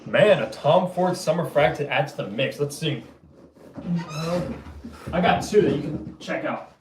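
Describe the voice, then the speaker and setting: A young man hosting a fragrance livestream and reading out viewer comments in an easygoing way, his voice going a little hoarse. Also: fairly high pitch, lively intonation, measured pace, reverberant, slight background noise. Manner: laid-back, loose, says bro and man a lot. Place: room at home.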